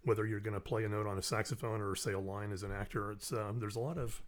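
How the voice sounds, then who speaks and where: An easygoing man chatting as a podcast guest, modest and a little self-deprecating. His voice sits fairly low and relaxed. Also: fast. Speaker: guest musician and actor. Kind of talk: casual interview chat.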